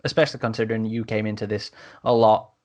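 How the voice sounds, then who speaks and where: A man's voice: lowish voice, little pitch movement, fast talker, a little mellow. A man hosting a podcast, moderating a casual discussion. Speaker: podcast host.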